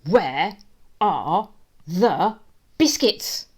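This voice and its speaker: A woman's voice: low-pitched, moderate pace, close to an everyday voice. A woman voicing a big, greedy male sewer rat in a storybook, bullying and mocking in manner. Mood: sneering, threatening.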